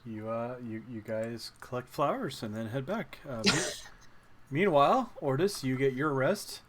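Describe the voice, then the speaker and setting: A man narrating a tabletop game as game master in a casual, halting, conversational way. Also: medium pitch, a bit bright. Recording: faint background noise.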